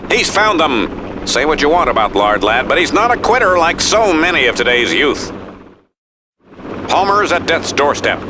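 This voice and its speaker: An actor playing a pompous, callous middle-aged American TV news anchor in a video game, delivering melodramatic mock news commentary. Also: male voice, medium pitch, background noise.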